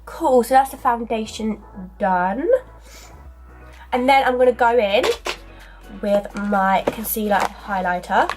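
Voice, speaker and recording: A young woman with a snuffly cold chats her way through a makeup routine, filling pauses with ums and little asides. She is speaking to a camera close in front of her in a small dressing room, with faint music underneath. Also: a little high. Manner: chatty, filler-heavy, talks while applying makeup. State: stuffy from a cold. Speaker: beauty vlogger. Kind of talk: casual makeup tutorial. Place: small bedroom dressing area indoors. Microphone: camera in front at arm's length.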